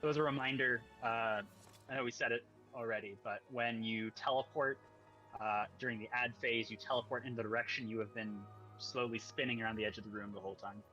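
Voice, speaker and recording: A man leading an online game raid over voice chat, giving calm step-by-step callouts. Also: medium pitch, fairly quick, lo-fi sound. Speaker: raid leader calling mechanics. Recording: game music behind voice chat.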